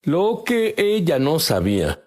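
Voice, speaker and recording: Man, preacher, slow delivery, slightly high voice, emphatic bursts. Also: wildly swooping pitch, a little mellow. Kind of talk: testimony sermon.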